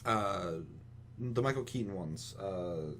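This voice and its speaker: A man's voice: deep voice, animated, slow pace, a bit bright. A man chatting casually as a podcast host, giving opinions in an emphatic, rambling way full of profanity. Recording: clearly audible hum and noise.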